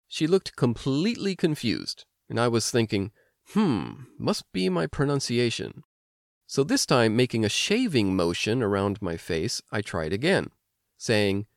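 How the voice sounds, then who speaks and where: An American man hosting an instructional language podcast, explaining things clearly like a teacher. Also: lowish voice, dry recording, studio quality. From United States. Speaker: language lesson host and teacher. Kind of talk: instructional podcast narration with personal anecdotes.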